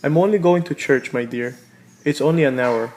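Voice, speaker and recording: A man acting a devoted, gently teasing husband in a radio play, affectionate and reassuring. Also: mid-range voice, moderate pace, lightly acted. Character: devoted, teasing, dismissive husband, Tom Moonlight. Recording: radio play with loud music behind.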